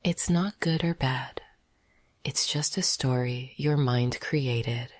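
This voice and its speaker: Low, breathy woman guiding a meditation. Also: no room sound, clean studio sound. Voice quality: soft, breathy, half-whispered. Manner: slow, gentle and soothing. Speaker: meditation guide. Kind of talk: scripted guided meditation.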